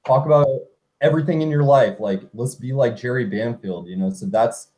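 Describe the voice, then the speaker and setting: A man's voice: medium pitch, a little fast, mellow. A man in a casual group chat, talking in a loose, chatty way full of "like" and "you know".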